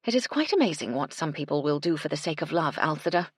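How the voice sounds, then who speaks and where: A woman voicing a kindly, firm lady in charge of a household, speaking in a measured, genteel and reassuring manner. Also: deep voice, very animated, breathy edge, no echo, studio quality, exaggerated voice. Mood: reflective.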